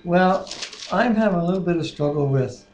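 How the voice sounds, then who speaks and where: Older man, soft mellow tone set a little high, scripture reading and discussion, roomy webcam sound. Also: lively intonation, moderate pace, highs cut off, a little hiss or hum. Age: 60s or older. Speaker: Bible reader and discussion co-host. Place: living room at home. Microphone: computer webcam mic at desk distance. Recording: roomy, echoey home webcam.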